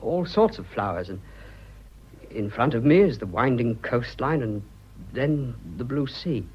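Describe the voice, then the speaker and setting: An earnest, genial young British man of the colonies, with a husky voice and lively, varied intonation. The radio play sounds slightly dull and lo-fi. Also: mid-range voice, a little slow. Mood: tender, wistful. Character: earnest, boyish young English colonial planter in love. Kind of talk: radio drama acting.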